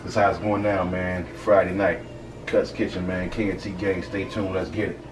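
A man in his thirties hosting a casual cooking vlog in a small home kitchen, talking to viewers in a relaxed, slangy way. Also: low-pitched, normal speed. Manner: laid-back, slangy, talks to viewers as "y'all". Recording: loud hum behind voice.

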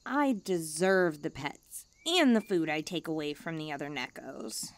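A woman's voice: deep voice, animated, unhurried, dry recording. A woman voicing a cute, self-important young stray catgirl in a languid ASMR roleplay. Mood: haughty. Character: cute, smug, pampered, drowsy young female stray catgirl.

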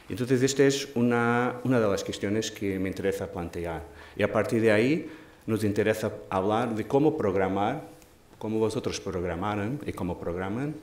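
A man in his forties with a Portuguese accent, a non-native speaker, moderating a panel in a thoughtful way, pausing and restarting phrases. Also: low voice. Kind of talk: panel discussion talk. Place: large dark auditorium stage. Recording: echoey hall with faint noise.

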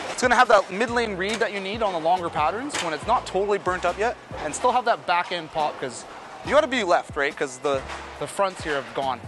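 A fairly high-pitched man in his thirties talks through each shot in a relaxed, casual way. He is in a large indoor bowling alley, picked up by a camera at a middle distance. Clear music plays behind him. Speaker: bowling ball reviewer. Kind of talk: casual running commentary while bowling.

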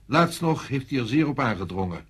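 A senior general in a radio drama. His voice is husky and mid-pitched, and he lays out matters deliberately, with the authority of one used to being obeyed. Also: very animated. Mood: grave, explaining. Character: authoritative male French general briefing a subordinate. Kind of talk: Dutch radio play acting.

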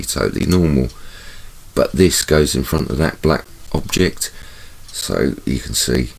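A man with a very deep, gravelly voice that sounds congested and bunged up. His tone is husky and rough as he talks casually over footage. He keeps doubling his words for emphasis in a chatty, conspiratorial way. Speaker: channel host. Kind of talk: casual video commentary over camera footage.

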